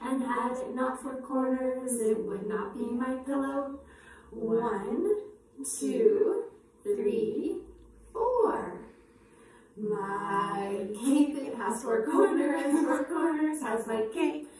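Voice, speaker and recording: A woman's voice: high-pitched, drawn-out words, a little mellow. A woman presenting a children's storytime, singing and introducing simple action songs with repetitive phrasing meant for young children. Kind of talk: upbeat children's singalong with short spoken introductions. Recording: echoey room, distant mic, faint noise.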